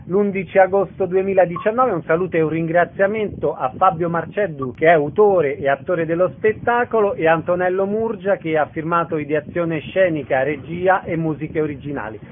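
A man's voice: a little high, a little hiss or hum. A man hosting a radio interview, courteously asking questions and giving orderly, formal announcements. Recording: narrow phone-like radio sound.

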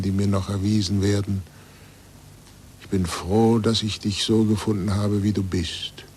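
A weary old blind scientist speaks very slowly and deeply, weighing each phrase and sinking toward a whisper. He is reflective yet resolute, in the grand manner of 1940s German radio drama, on a rumbling, noisy old recording.

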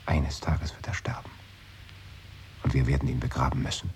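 A man's voice: extremely low pitch, fairly even intonation, moderate pace. An actor in a radio play, playing a brooding man who muses aloud and often sinks into a whisper. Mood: wistful. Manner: musing, often drops to a whisper. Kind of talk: 1980s radio play acting.

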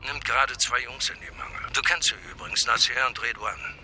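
Sharp-voiced, wry policeman. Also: mid-range voice, steady tone, brisk, slight background noise. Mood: businesslike. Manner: wry, slangy. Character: brisk, matter-of-fact male police officer. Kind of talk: radio drama dialogue.

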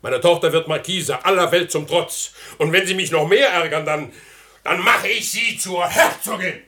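A man's voice: sits high, normal speed, pushed and bright, a little hiss or hum. An actor playing a pompous, foolish, blustering rich father in a 1960s German radio comedy. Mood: stubborn bluster.

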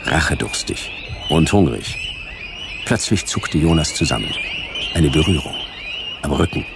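A man's voice: a bass voice, lively intonation, a little slow, vocal fry, background noise, full character voice. A man playing a wry, hard-boiled detective who narrates in terse, ironic sentences in a sci-fi radio drama. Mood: grim, laconic.

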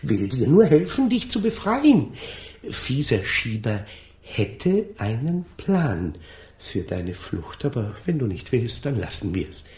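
An actress playing a sly, scheming furnace creature in a children's radio play, coaxing and instructing in a crafty way. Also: female voice, extremely low pitch, very animated, slow pace, warm tone, roomy sound, exaggerated voice.

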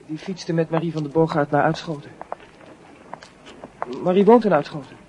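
A man's voice: fairly high pitch, normal speed, darkish tone, close to an everyday voice. Man playing a tentative teenage schoolboy, noisy scene. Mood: tentative, curious. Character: shy, earnest teenage schoolboy in love. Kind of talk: radio play dialogue.

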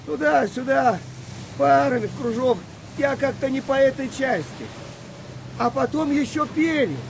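A seasoned sailor on a wartime convoy in a radio play, with a very high voice for a man. He talks roughly and plainly, yet muses like a philosopher, over clearly audible engine-like noise. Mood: reflective. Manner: colloquial, rough-spoken, reflective. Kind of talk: audio play acting.

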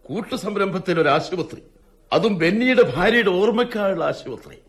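A man playing a cunning, domineering older father, a retired forest ranger, in a radio drama, speaking in a stern, probing, measured way. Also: sits high, lively intonation, a bit bright. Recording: radio drama with music behind.

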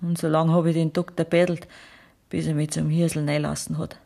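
A woman's voice: low voice, little pitch movement, fairly quick, gravelly, mellow. An actress playing the anguished middle-aged mother of an outlaw, telling her story in Bavarian dialect in a radio play. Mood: bitter, sorrowful.

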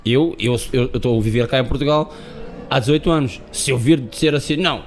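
A man's voice: medium pitch, brisk, slight background noise. A man from Cape Verde, a guest on an interview, who talks emphatically and repeats words while searching for them. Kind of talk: interview conversation.

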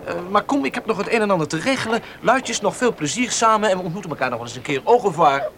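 An actor playing a flippant, needling young gentleman with a theatrical, jesting manner in a Dutch radio play. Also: high voice, lively intonation, fast. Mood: breezy, teasing. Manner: feigned jest, needling, theatrical. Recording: noisy radio drama recording with ambience.

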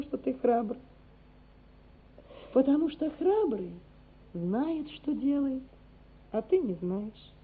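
A loving mother in a radio play, her voice very husky and fairly high. She speaks slowly, exhorting her small son with measured, emphatic earnestness, through a narrow, muffled sound. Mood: reproachful, emotional. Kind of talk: radio drama acting.

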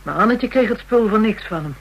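A tough, taunting underworld woman in a radio drama, her voice low for a woman and husky. She speaks in colloquial, scornful jabs with a self-pitying edge. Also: expressive, fairly quick, highs cut off. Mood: scornful, defiant. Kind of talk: Dutch radio drama acting.

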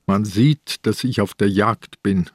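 An actor playing an ailing, irritable old police commissioner in a radio crime drama, with a dry, sardonic manner. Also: male voice, fairly low pitch, slow pace, dry recording. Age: older.

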